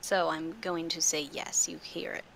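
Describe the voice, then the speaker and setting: A woman's voice: low-pitched, flat delivery, normal speed, creaky, fairly bright tone, slight background noise, low-quality recording. A woman acting as game master, narrating and improvising lines in a tabletop roleplaying game.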